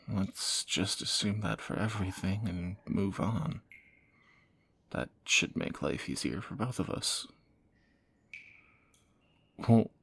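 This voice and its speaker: A man playing a groggy fantasy assassin in an intimate romantic audio roleplay, husky and halting, with wry understatement. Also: low voice, fairly bright tone, full character voice. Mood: teasing, awkward. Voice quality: gravelly, husky morning voice. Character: groggy male fantasy assassin, softening captor, flustered and teasing.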